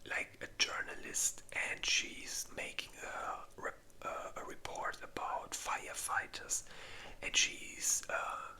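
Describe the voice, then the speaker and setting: A host speaking in a breathy, unvoiced whisper, rambling informally in a casual ASMR ranking video. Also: slow, sharp tone.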